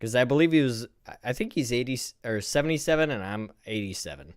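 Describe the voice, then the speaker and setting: A man in his thirties hosting a casual live stream, reacting in a rambling, profane, animated way. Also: mid-range voice, fast. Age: 30s.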